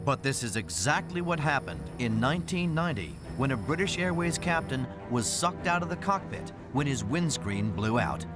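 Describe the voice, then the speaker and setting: A man narrates a dramatic documentary from a script. His pitch is medium and moves in a lively, expressive way. Music plays clearly behind him. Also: normal speed, hardly any pauses.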